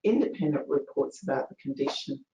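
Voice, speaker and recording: A soft-spoken woman presents a legal information webinar, her voice low and quiet. She talks in a chatty, informal way with plenty of fillers. The sound comes through an echoey video call. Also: expressive, a little fast. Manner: chatty, with fillers and a ready laugh.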